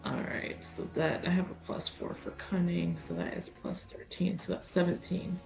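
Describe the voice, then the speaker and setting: A woman playing a tabletop roleplaying game, talking casually in a deliberate, wry way with pauses. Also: lowish voice, little pitch movement, gravelly, a little mellow, faint music behind.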